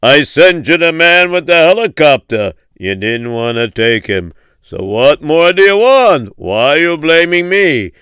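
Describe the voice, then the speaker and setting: A man puts on a stern voice from heaven in a spoken story, reproachful and deliberate. Each line lands slowly and emphatically in a bright, clear tone. The sound is dry and close. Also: on the high side, very animated, no echo. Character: stern male divine voice from heaven.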